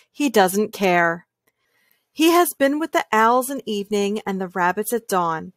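A woman reads a children's story aloud with a calm, gentle delivery. Her mid-pitched voice moves in deliberate, measured phrases with small pauses. The sound is close and dry, without room echo. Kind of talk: calm children's picture book read-aloud.

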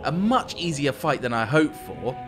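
A man's voice: on the high side, big pitch swings, moderate pace. A man giving playful, wry commentary on a strategy game as he plays. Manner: wry, tongue-in-cheek. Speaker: gameplay commentator. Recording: voice-over with background music. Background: music.

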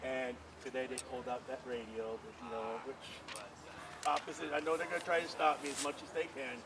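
A man's voice: medium pitch, flat delivery, brisk, background noise. A man who preaches on the street, talking earnestly and insistently with police officers outdoors at a market. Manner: earnest, insistent, talks over others. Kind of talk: casual conversation with police. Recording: distant voice, busy street crowd.

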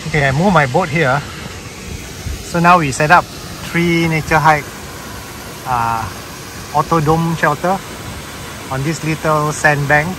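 A man with a Malaysian English accent narrating a relaxed camping vlog outdoors on a sandbank, on a handheld camera mic. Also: fairly high pitch, measured pace, long pauses. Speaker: camping vlogger. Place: outdoors on a sandbank beside a loud waterfall. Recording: loud waterfall noise behind the voice.

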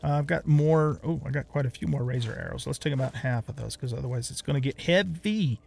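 A man's voice: medium pitch, fairly quick, gravelly, darkish tone. A middle-aged man giving casual let's play commentary, rambling as he thinks aloud.

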